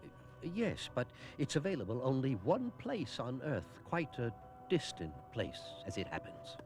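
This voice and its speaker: A man's voice: mid-range voice, huge pitch swings, a little slow, over music. A man playing an anxious cartoon scientist, fussy and hesitant in his speech. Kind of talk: animated adventure series acting.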